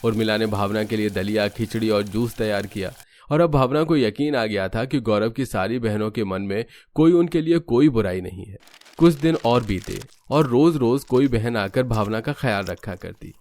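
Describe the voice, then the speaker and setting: A man's voice: lowish voice, normal speed, darkish tone. A man narrating a scripted cartoon family story as a storyteller.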